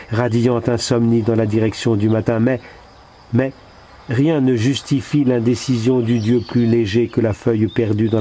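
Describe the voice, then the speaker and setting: Low, mellow man reciting poetry. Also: a little hiss or hum. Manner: measured, deliberate phrasing with pauses. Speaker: poet reading own work.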